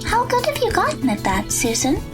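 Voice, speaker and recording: A woman's voice: very high voice, wildly swooping pitch, fairly quick, music in the background, heavily acted. A woman playing a friendly, eager teenage girl with a bubbly manner in an audio drama.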